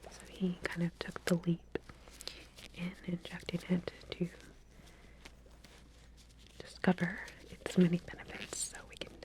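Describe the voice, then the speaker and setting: Female ASMR researcher role: hushed whisper, lowish voice, gentle clinical explanations with hesitant pauses. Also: monotone, rough recording. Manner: soft, hesitant, explanatory, reassuring clinical talk. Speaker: ASMR roleplayer playing a lab researcher. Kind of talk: whispered ASMR medical roleplay.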